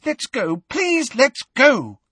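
Man putting on an anxious, high-pitched schoolgirl. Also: very animated, slow pace, sharp tone, no room sound, exaggerated voice. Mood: terrified.

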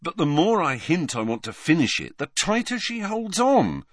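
A glum teenage boy from a storybook voiced by a man, with animated swings in pitch. He is casual, lazy and given to grumbling, in a dry, close recording. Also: moderate pace, no room sound. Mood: gloomy, exasperated.

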